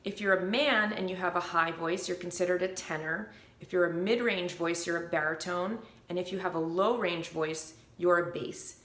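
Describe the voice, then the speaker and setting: A woman vocal coach explaining breath support and pitch in a clear, upbeat teaching manner, with short sung demonstrations. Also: normal speed, few pauses, a bit bright, roomy sound. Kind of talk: instructional video.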